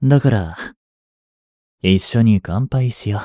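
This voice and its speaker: A man in his twenties playing an obsessive, jealous young stalker in an intimate voice drama, coaxing softly with long pauses. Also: on the low side, no room sound, studio quality. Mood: pleading, eerily gentle. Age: 20s. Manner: soft, coaxing, brooding delivery with drawn-out pauses. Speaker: voice actor. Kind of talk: intimate Japanese voice drama, addressed to the listener.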